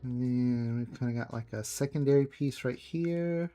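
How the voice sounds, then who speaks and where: Guy on an art stream, mid pitch, kinda murmury and half-distracted, faint music in the back. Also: normal speed. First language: English. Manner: soft, musing, trails off mid-thought while working. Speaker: streamer drawing live. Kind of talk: casual chat while drawing. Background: faint background music.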